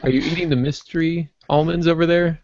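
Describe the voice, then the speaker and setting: A man's voice: on the high side, steady tone, fairly quick, a little mellow, highs cut off, a little hiss or hum. A man co-hosting a podcast in casual chat, bantering and dropping in asides as he makes his point. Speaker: podcast co-host.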